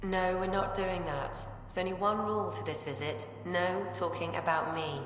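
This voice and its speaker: A woman acting as an ailing middle-aged mother in a game flashback, heavily drenched in echo. Her voice is slightly low, affectionate and cheerfully firm. There is faint noise behind her. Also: steady tone, normal speed, lightly acted. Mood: gently firm. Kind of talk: video game cutscene acting.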